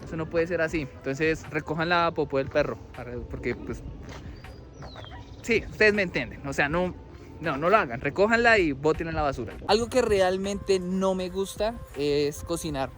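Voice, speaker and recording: A young Colombian man with a slightly high voice chats to camera in a rambling, easygoing way, often stopping to correct himself. He is recorded outdoors in a city, with soft music playing underneath. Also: moderate pace. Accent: Colombian Spanish. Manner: chatty, rambling, self-correcting. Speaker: vlogger. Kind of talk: casual talk to camera.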